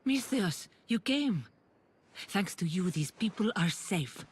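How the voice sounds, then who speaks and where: A woman's voice: on the low side, big pitch swings, slow, slight background noise. A woman playing an earnest villager who shelters refugees in ancient Greece, in dramatic video game style. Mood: relieved, weary.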